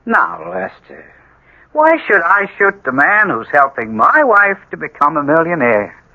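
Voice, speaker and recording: An actor playing a self-justifying husband who argues with emphatic questions in a radio drama. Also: male voice, fairly high pitch, big pitch swings, a little slow, pushed and bright, no room sound, exaggerated voice. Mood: defensive, insistent. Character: evasive, self-justifying husband, coolly argumentative. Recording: muffled, slightly noisy old radio recording.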